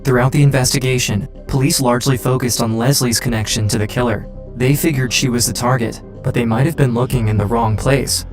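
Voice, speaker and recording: Guy narrator, true crime doc style, mid pitch, soft music under him. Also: steady tone, fairly quick. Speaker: documentary narrator. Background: faint music.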